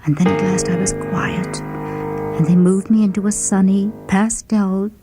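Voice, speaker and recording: A woman narrating a first-person short story in a dramatic, expressive, theatrical manner. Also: mid-range voice, moderate pace. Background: music.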